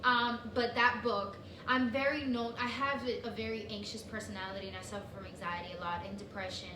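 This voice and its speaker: A woman whose first language is Spanish chats casually as a co-host, full of fillers and restarts. She sits back from the mic in an echoey room. A steady hiss of noise runs under her voice. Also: mid-range voice, a little fast, far from the mic. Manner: chatty, lots of fillers and restarts. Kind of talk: casual chat.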